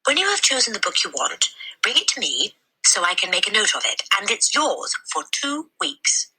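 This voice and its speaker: A woman voicing a kindly, concerned village librarian with a gentle, swooping delivery in a children's storybook reading. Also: medium pitch, big pitch swings, moderate pace, husky, voice pushed up, heavily acted, rough recording. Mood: kindly, helpful. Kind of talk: children's storybook read-aloud.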